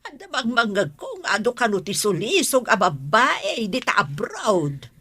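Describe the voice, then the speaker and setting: A woman's voice: mid-range voice, big pitch swings, normal speed, a bit bright, breathy edge. A woman playing a fretful, wary older mother in an Ilocano Filipino radio drama. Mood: warning, suspicious.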